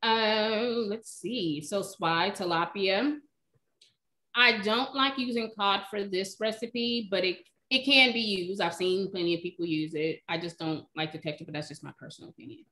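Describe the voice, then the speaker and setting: A woman in her thirties explains her cooking in an easy, conversational way, often saying she eyeballs everything. She speaks over a webcam from a home kitchen, at a middle pitch. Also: normal speed. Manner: relaxed, chatty, explains by eye. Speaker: cooking demonstrator, recipe blogger. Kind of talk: casual live Q&A. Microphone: video call, webcam.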